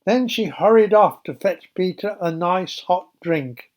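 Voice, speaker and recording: A man narrating a Bible story for children as a storyteller, in a simple, measured, explanatory way. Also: high voice.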